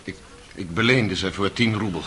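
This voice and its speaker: A man's voice: low voice, moderate pace, bright, forceful tone, husky, exaggerated voice. An actor playing an impulsive, embittered man on trial for murder in a radio courtroom drama. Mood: subdued, answering. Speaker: defendant. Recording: noisy old radio play recording.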